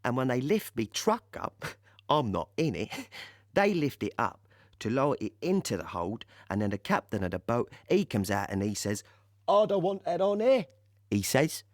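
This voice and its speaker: A man plays a young working-class soldier in a wartime audio drama. He is a cheerful, chatty army driver with a very animated, mid-pitched voice and loose, colloquial grammar. The recording is close and dry. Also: moderate pace, no echo, natural voice. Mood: amused. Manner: colloquial, ungrammatical, chatty storytelling with chuckles. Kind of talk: dramatic monologue in an audio play.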